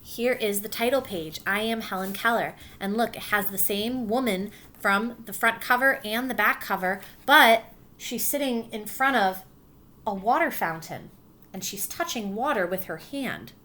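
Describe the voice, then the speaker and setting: A woman teacher reads a picture book aloud to her class and stops often to explain what they are reading. Her voice is bright and clear, and she keeps checking that the children follow along. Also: mid-range voice. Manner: warm encouraging teacher voice, pausing to explain.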